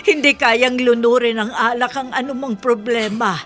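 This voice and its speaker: A woman acts out a worried older Filipino mother in a radio drama. She pleads and admonishes in a high, bright voice, with faint music underneath. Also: steady tone, moderate pace, natural voice. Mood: worried, pleading.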